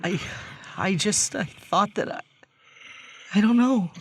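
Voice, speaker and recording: A man's voice: high voice, takes it slowly, breathy edge, full character voice. An actor playing a tormented, anxious suburban man in a horror audio drama, speaking dramatically and stammering when flustered. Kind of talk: horror audio drama acting with first-person narration.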